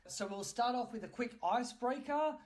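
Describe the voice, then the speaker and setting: A man's voice: extremely high pitch, moderate pace. A man hosting a casual chat in a teasing, jokey way. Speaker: podcast host. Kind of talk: casual quick-fire interview chat.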